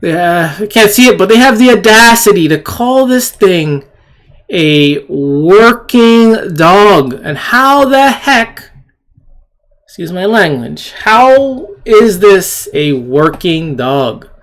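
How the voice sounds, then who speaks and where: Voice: mid-range voice, big pitch swings, slow pace. A person giving an indignant, opinionated commentary, emphatically repeating phrases and asking rhetorical questions. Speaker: video commentator. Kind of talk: opinionated rant commentary on shared screen clips.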